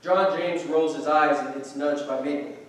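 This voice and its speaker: A man's voice: fairly high pitch, steady tone, moderate pace. A man reading scripted stage directions as narrator at a staged play reading. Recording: very echoey room, distant, faint noise.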